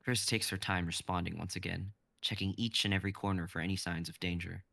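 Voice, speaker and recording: A man narrating a script and its stage directions in an even, descriptive way. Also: low voice, fast, vocal fry, no echo. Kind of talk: reading a script aloud.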